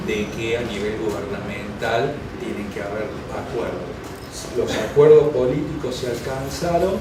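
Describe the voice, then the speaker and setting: Lecturing man in an echoey hall. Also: medium pitch. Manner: explanatory, builds lists, animated when making a point. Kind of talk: conference talk with Q&A. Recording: echoey hall, far mic, loud noise.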